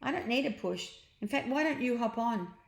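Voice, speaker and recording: A woman's voice: a little high, animated, moderate pace, vocal fry, voice pushed up. A woman voicing a plucky, cheeky young boy in a children's storybook, bold and chirpy in manner. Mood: confident. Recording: roomy, echoing sound.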